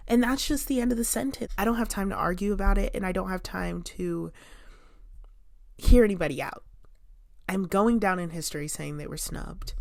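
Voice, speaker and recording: A young woman chatting casually to camera as a vlogger at home, expressive, with lots of "like" fillers and sudden bursts of emphasis. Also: mid-range voice, normal speed, creaky, dry recording, clean studio sound. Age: young adult. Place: room in her home.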